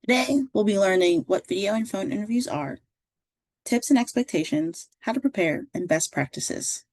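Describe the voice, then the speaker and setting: A woman reading a scripted instructional narration as a career services presenter, in a clear, measured, instructive way. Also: medium pitch, expressive, darkish tone, dry recording.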